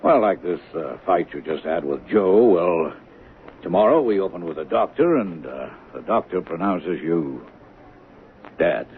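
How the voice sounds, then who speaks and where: A smooth, evasive show-business boss in a vintage radio play. He is halting and low-pitched, with lively swings. The recording is narrow and tinny. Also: a little hiss or hum. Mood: awkward, evasive. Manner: hesitant, halting. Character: evasive soap opera studio boss, smooth-talking, awkward. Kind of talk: radio drama acting.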